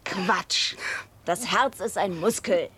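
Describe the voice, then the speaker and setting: A woman's voice: mid-range voice, slow, fairly bright tone, husky. An actress playing a big, blunt, streetwise female wrestler and night guard in a German radio drama, brusque and confrontational. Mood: gruff, dismissive.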